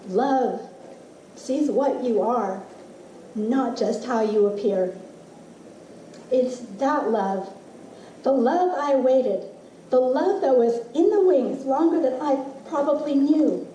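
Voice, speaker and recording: A middle-aged woman reading a personal essay aloud as expressive, deliberate storytelling with dramatic pauses. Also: sits high. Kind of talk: literary reading of a personal essay to a live audience. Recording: roomy, distant mic with faint noise.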